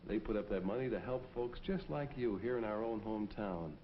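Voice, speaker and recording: An actor playing a kindly, earnest neighborhood policeman in a 1940s American public-service film, speaking in a folksy, gently persuasive way. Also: fairly low pitch, fairly quick, slight background noise, close to an everyday voice. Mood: kindly persuasion. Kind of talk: 1940s propaganda film acting and narration. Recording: dull vintage film soundtrack, music behind. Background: music.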